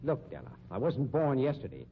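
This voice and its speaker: An actor playing a tough, slangy, commanding sideshow magician and boss in a 1950s radio drama. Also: male voice, mid-range voice, huge pitch swings, fairly quick. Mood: hard, urgent. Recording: muffled, noisy 1950s radio recording.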